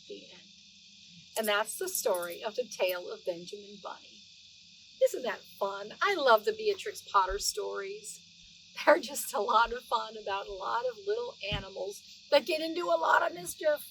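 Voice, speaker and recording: High-voiced woman reading a storybook to children. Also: slight background noise, rough recording. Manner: expressive storytelling, drawn-out words and gentle questions to the listener. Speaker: host of a children's read-aloud show. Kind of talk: storybook read-aloud with chatty asides to young listeners.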